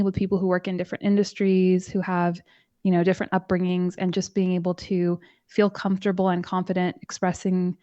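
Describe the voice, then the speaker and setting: A soft-spoken woman talking calmly and evenly as a guest in a casual interview. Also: fairly low pitch, dry recording, muffled recording. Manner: calm, measured, soothing. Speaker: podcast host and guest.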